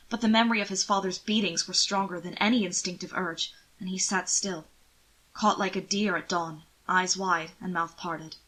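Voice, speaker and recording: A woman's voice: mid-range voice, pushed and bright, rough recording. A woman reading scripted fiction expressively as an audiobook narrator.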